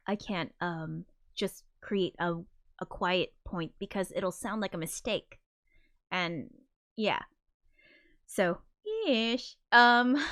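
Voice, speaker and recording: Woman, high voice, rambling livestream chat, frequent restarts, giggly, desk mic. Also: a bit bright. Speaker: composer streaming live. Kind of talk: casual livestream chat reading viewer comments.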